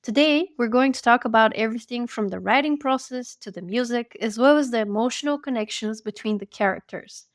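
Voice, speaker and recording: A woman's voice: a little high, brisk, hardly any pauses, no room sound, studio quality. A woman hosting a podcast, interviewing in a conversational, informal and friendly way. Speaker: podcast host.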